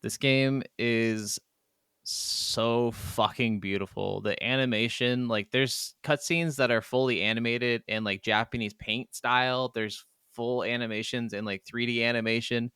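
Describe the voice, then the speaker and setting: Male podcast co-host, smooth clear voice, enthusiastic and slangy with some swearing, dry close recording. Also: medium pitch, a little slow, no room sound. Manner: excitable, slangy, profane. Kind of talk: casual enthusiastic chat. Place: remote recording from separate locations.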